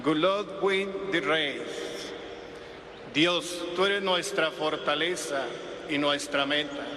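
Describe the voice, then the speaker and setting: Slow priest praying, echoing PA. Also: male voice, fairly high pitch, pushed and bright. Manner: measured, pausing between phrases. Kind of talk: public invocation, solemn prayer. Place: large racetrack over loudspeakers. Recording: echoing stadium PA with background noise.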